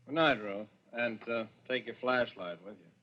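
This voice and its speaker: A man's voice: medium pitch, normal speed, lightly acted. A scornful radio-thriller villain played by a male actor, giving clipped orders with cool menace. The old recording is muffled, with faint noise behind the voice. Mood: suspicious, controlled. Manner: curt, commanding, scornful. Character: menacing, sneering brother of a murdered man, holding a gun. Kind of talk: 1940s radio thriller acting. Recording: dull, noisy vintage radio recording.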